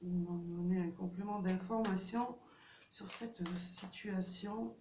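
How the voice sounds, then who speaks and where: A woman's voice: mid-range voice, steady tone, slow pace, background noise. A woman with a cold giving a casual tarot card reading, hesitant and full of fillers and restarts. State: has a cold. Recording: distant, dull, roomy home recording.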